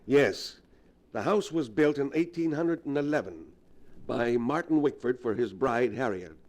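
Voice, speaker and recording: Grave, measured doctor played by an actor. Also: male voice, mid-range voice, lively intonation, a little mellow, lightly acted. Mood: grave, explaining. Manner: measured, ominous storytelling. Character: grave, learned doctor versed in the supernatural. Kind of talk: 1940s radio acting.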